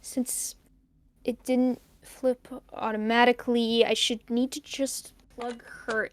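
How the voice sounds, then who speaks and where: A woman playing a nervy, joking young loner in an audio drama, muttering to themself in a wry, halting way. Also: on the high side, little pitch movement, dry recording. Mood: uneasy, focused. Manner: wry, halting, self-talking. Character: nervy, joking young loner recording a tape while fixing power.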